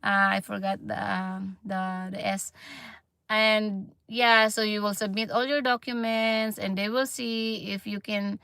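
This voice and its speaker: Slow, halting Filipino woman. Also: medium pitch, flat delivery, creaky, fairly bright tone, smooth, no room sound. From Philippines. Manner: halting, many fillers and restarts, laughs often. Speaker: livestream vlogger. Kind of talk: casual livestream chat.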